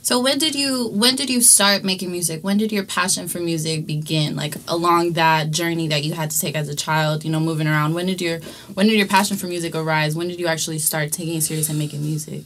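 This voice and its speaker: A woman's voice: low-pitched, steady tone, quick pace, fairly bright tone. A woman hosting an interview, asking friendly, encouraging questions. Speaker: interviewer, host. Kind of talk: casual interview.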